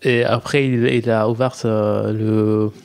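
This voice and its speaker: A man's voice: lowish voice, little pitch movement, slow pace, vocal fry, a little mellow, smooth. A man from Iran, a guest telling his story in French, hesitant, with many restarts and fillers. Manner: hesitant, many restarts and "euh", mixes in English words. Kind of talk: personal testimony in conversation.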